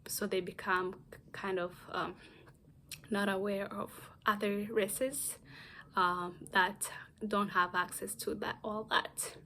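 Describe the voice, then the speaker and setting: Halting young woman reading class notes. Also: medium pitch, flat delivery, bright, forceful tone, slight background noise. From Democratic Republic of the Congo. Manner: halting, many pauses and restarts. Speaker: graduate student. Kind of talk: recorded class presentation read from notes.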